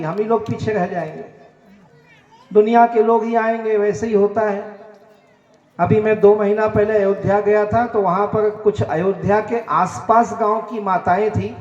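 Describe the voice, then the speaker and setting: Male preacher, Chhattisgarhi accent, fairly high, long reverb, dull lo-fi sound. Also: a little mellow. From Chhattisgarh, India. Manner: earnest, direct appeals to the crowd. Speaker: religious preacher. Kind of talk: sermon to a village gathering. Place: village public gathering. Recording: echoey, distant, dull sound.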